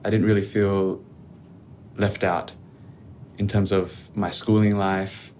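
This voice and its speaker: A man speaking as a podcast guest in a calm, reflective, measured way. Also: fairly low pitch, a little hiss or hum. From Australia. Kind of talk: casual interview conversation.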